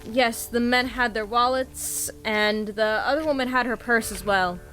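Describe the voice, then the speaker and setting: A woman's voice: fairly high pitch, measured pace, pushed and bright, faint music behind, low-quality recording. A woman playing an observant police officer who reports matter-of-factly in a horror audio drama. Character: observant female crime scene police officer.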